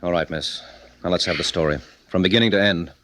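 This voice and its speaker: An actor playing a brisk, commanding police captain in a 1940s radio crime drama, clipped and authoritative. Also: male voice, low-pitched, a bit bright, no echo. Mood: grimly matter-of-fact. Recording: faintly noisy 1940s radio recording.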